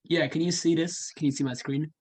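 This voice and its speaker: A man's voice: a little high, fairly even intonation, fairly quick, darkish tone, breathy edge, no room sound. A man politely introducing himself and handing over on a group video call. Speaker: youth parliament deputy minister.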